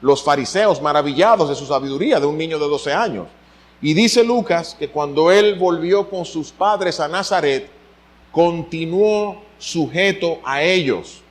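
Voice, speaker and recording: A middle-aged man from the Dominican Republic with a Dominican accent, preaching a sermon as pastor, his voice swinging upward to stress a point. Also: high-pitched. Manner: animated preaching, voice swinging up for emphasis.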